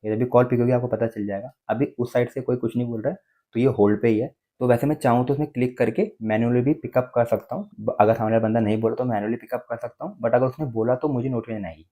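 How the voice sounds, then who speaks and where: A man's voice: mid-range voice, fairly even intonation, quick pace, dark tone. An Indian-accented man presenting a casual step-by-step phone tutorial, explaining and often checking in with short tag words.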